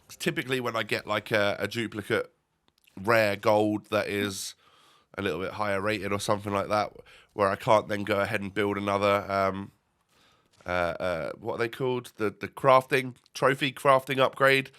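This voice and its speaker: A young man talks over his gameplay in a loose, rambling way, full of ums and restarts. His voice is bright and nasal from a stuffy nose, with a congested edge. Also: lowish voice, fairly even intonation, normal speed, clean tone. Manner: rambling, many fillers and restarts. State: stuffy, bunged up. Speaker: gaming YouTuber. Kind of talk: casual gameplay commentary.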